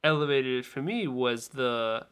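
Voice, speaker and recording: A man on a podcast panel giving casual, analytical film criticism in a measured way. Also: mid-range voice, fairly bright tone, clean tone.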